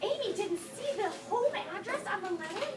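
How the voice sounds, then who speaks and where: A woman teacher reading a picture book aloud to young children, expressive, pausing to explain words and ask questions. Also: very high-pitched. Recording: echoey noisy room from far off.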